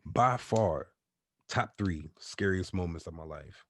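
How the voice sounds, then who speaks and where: A man's voice: lively intonation, slow pace, no echo. A man co-hosting a podcast, telling a story in a casual, profane way and often repeating his words. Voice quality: deep voice. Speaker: podcast co-host. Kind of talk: casual storytelling among friends.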